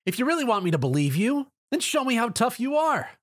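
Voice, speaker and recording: A man's voice: extremely high pitch, big pitch swings, fairly quick, pitched above the performer's own voice, exaggerated voice. A man voicing a brash, cocky, tomboyish young female pony in a story. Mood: challenging. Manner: cocky, blunt.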